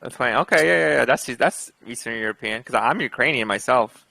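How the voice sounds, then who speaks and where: A man's voice: medium pitch, a little fast, gravelly, sharp tone, no echo. A man from the United States hosting a casual chat, speaking emphatically and directly.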